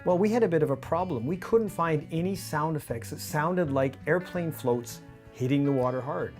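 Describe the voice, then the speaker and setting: A man in his fifties with a North American accent presents a scripted behind-the-scenes explainer in a measured, clear manner. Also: a little high, soft background music. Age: 50s. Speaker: radio drama director and presenter. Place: small indoor studio office with monitors. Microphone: lavalier on shirt.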